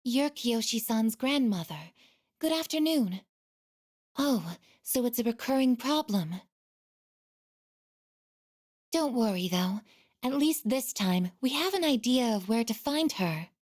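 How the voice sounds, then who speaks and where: A woman voicing a gentle, earnest young female android in a video game, with measured, formal, softly hesitant phrasing. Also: a little high, lively intonation. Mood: polite. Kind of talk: English video game voice acting.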